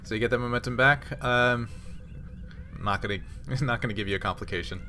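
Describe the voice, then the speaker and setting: A man with a bright, forward voice of average pitch runs a tabletop role-playing game. He narrates scenes and rules on actions conversationally, in an informal tone, over faint background noise. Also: brisk. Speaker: game master. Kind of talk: improvised narration and rulings in a tabletop sci-fi game.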